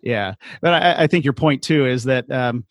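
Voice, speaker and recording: Man, podcast host, average pitch, dry recording, casual chat, agreeable, prone to chuckles. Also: normal speed, dry recording. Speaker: podcast host, self-publishing game designer.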